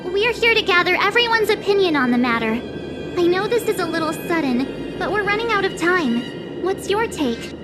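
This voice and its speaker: A young girl adventurer from a video game, earnest and full of energy. Her voice sits extremely high, with music playing clearly underneath. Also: sing-song, moderate pace. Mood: urgent. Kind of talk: game cutscene voice acting.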